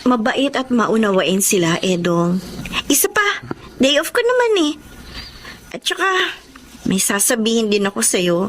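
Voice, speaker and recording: An actress playing a trusting young Filipino housemaid in a Tagalog radio drama, polite, earnest and emotional. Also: female voice, fairly high pitch, sing-song, measured pace, long pauses, slight background noise. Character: trusting, hopeful young housemaid supporting her siblings.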